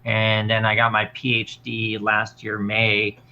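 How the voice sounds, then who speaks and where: A middle-aged man with a low, gravelly voice and a sharp, bright edge, heard through a dull lo-fi mic. He tells his stories haltingly, with plenty of "um" and "uh" and restarts. He is a guest on a casual stream. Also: completely flat delivery, slight background noise. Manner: halting, many fillers and restarts, laughs while telling stories. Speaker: guest, former game designer.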